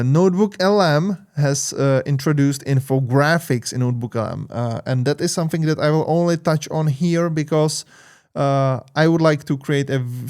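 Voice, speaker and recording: Man, Czech accent, young adult, tech news host, hesitant with fillers, gravelly, smooth. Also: medium pitch, a little mellow. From Czech Republic. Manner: hesitant, many fillers and restarts. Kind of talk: casual news commentary.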